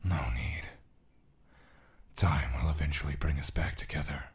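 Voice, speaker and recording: Ancient, patient confidant spirit male actor, extremely low voice, measured and gently probing, for fantasy audio drama. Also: fairly even intonation, slight background noise. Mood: wistful.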